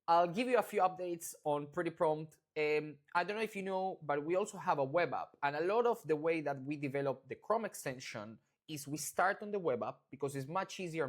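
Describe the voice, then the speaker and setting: An adult from Argentina with an Argentine Spanish accent, giving a casual, enthusiastic and informal walkthrough of software updates. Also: mid-range voice. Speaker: product maker presenting software updates. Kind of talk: casual product update demo.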